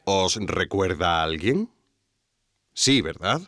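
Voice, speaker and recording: An actor playing an earnest investigative documentary narrator with an accent from Spain, reading scripted narration. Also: male voice, sits low, animated, takes it slowly, fairly bright tone, no echo, full character voice. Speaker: narrator, host.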